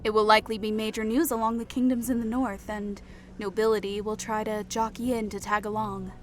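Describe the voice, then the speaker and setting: A man's voice: sits high, brisk, a little hiss or hum, pitched above the performer's own voice, natural voice. A man voicing a playful, teasing young woman companion in a raised, lighter tone. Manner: lively, teasing.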